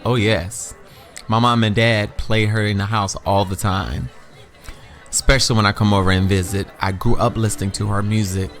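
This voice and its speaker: A man voicing a laid-back, courteous male suitor on a date in an audio story, low and easygoing. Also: fairly even intonation, moderate pace, fairly bright tone, soft background music. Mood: relaxed, flirting.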